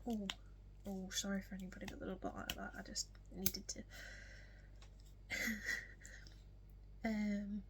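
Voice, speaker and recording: Young woman, strong yorkshire accent, flat even pitch, soft whispery delivery, casual vlog chat, faint background hiss. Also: slow, long pauses, poor audio. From West Yorkshire, England. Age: young adult. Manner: soft, frequently whispery delivery with many ums. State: hiccups. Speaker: vlogger. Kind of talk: casual get-to-know-me chat.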